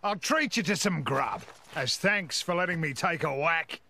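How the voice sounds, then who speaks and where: An actor playing a gruff, brash middle-aged mercenary boss in a video game, swaggering and taunting in folksy slang. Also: male voice, fairly high pitch, normal speed, sharp tone, full character voice. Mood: hearty blunt. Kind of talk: video game cutscene voice acting. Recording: music behind the voice. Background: game soundtrack music.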